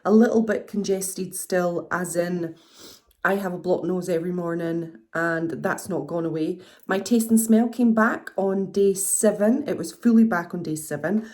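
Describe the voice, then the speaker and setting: A woman's voice: on the low side, normal speed, darkish tone. A woman in her thirties with a blocked nose, talking in a chatty, rambling video diary. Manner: chatty, rambling, self-reassuring. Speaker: vlogger.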